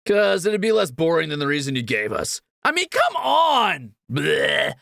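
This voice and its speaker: A bright, animated rogue of a thief, acted by a man, teasing and flippant in a fantasy roleplay. His high voice has a gravelly creak and he is always angling for gold. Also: measured pace, no echo, clean studio sound, heavily acted. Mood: playful mocking. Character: cheeky, greedy young male thief, teasing adventurer. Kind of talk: fantasy audio roleplay acting.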